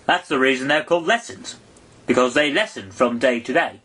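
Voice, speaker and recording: A man voicing a gruff, impatient male gryphon who scolds brusquely. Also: mid-range voice, a little fast, fairly bright tone, lightly acted.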